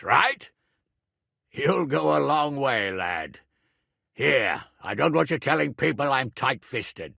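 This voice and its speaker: An actor voicing a gruff, hot-tempered but loyal middle-aged medieval nobleman in a video game, with a rough, gravelly voice and a blunt, lordly, emphatic manner. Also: on the high side, bright, forceful tone, no echo, clean studio sound, heavily acted. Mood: measured, gruff. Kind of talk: dramatic video game voice acting.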